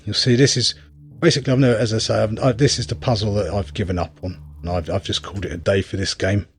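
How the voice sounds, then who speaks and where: Male gameplay commentator, slightly deep and husky, dry humour, loose off-the-cuff delivery. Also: fairly even intonation, fast talker. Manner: wry, rambling, thinking aloud. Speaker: game reviewer and player.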